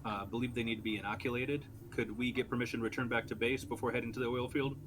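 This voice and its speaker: A man's voice: medium pitch, fairly even intonation, quick pace, a bit bright, slight background noise. A man playing a tabletop role-playing game, casually describing and speaking for his character. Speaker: tabletop role-play player. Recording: music playing behind the voice. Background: music.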